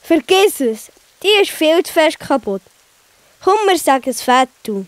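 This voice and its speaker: A woman's voice: sits high, big pitch swings, unhurried, no echo. A woman playing an earnest young boy in a children's audio play. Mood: earnest, urging. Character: Hops, young boy child character, conscientious and encouraging.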